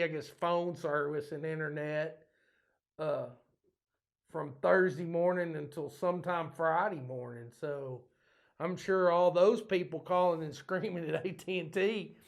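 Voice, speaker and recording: A man hosting a podcast, ranting bluntly in a rambling, casual way, his voice a little hoarse. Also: fairly high pitch. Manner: ranting, blunt, self-deprecating. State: slightly hoarse. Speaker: podcast host.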